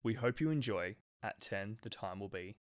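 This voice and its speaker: A man's voice: on the low side, moderate pace, very noisy. A man reading a scripted radio play introduction as a theatrical announcer. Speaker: announcer and narrator.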